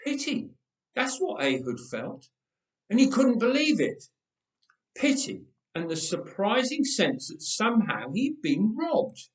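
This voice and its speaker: A man reading a story aloud in a dramatic, expressive, measured way with deliberate pauses. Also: sits high, recorded from a distance. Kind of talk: dramatic scripted story narration. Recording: roomy, echoing indoor sound.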